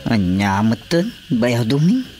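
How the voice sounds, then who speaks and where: A woman's voice: extremely low pitch, sing-song, slow, over loud music, exaggerated voice. A woman playing a hapless, complaining comic in-law in a radio drama, with an Ilocano Filipino accent. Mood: dejected. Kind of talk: radio drama comedy acting.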